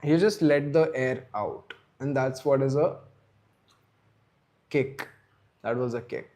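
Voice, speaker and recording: Male streamer, 30s, mid-pitched, loose rambling chat with viewers. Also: slow pace, noisy background. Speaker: livestream host. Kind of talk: casual live stream chat.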